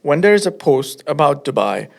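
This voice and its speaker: A man's voice: a little high, sing-song, moderate pace, creaky. A man hosting a podcast, talking in a conversational style as he discusses and asks questions. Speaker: podcast host.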